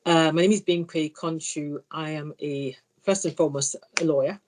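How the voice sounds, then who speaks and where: A woman's voice: deep voice, normal speed, a little mellow. A woman in her sixties from Nigeria, a barrister, introducing herself on a panel in a confident, orderly way.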